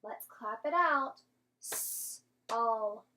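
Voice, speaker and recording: Slow-paced, higher-voiced woman teacher. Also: bright, forceful tone, slight background noise. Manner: clear instructional phrasing, questioning, sounding out syllables. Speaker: primary school teacher. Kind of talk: interactive read-aloud lesson for young children.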